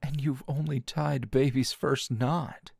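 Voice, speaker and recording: A man's voice: medium pitch, fairly even intonation, slow pace, gravelly, a little mellow, husky, no echo, studio quality, heavily acted. A man playing a teasing, dominant, seasoned anime hero as a tender lover in an intimate ASMR roleplay, murmuring breathily and slipping into whispers. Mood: teasing, commanding.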